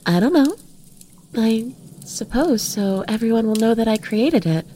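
A woman's voice: fairly high pitch, animated, measured pace, mellow, slight background noise. A woman playing a withdrawn, curious young female artist in an audio drama, hesitant and guarded. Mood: guarded, reticent.

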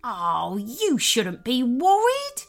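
A woman's voice: a little high, wildly swooping pitch, drawn-out words, creaky, soft background music, heavily acted. A woman voicing a cheerful, loyal hunchback servant in a storybook, eager to please and reassuring. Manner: servile, enthusiastic. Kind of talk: storybook character voice.

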